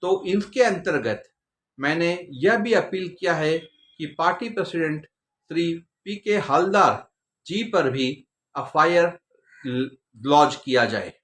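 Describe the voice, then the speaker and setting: An Indian man delivers political commentary in a fairly high voice. He speaks very slowly and deliberately, breaking his sentences into short phrases. The sound is strongly roomy and echoey. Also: animated, fairly bright tone. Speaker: news commentator. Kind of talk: spoken political commentary to camera.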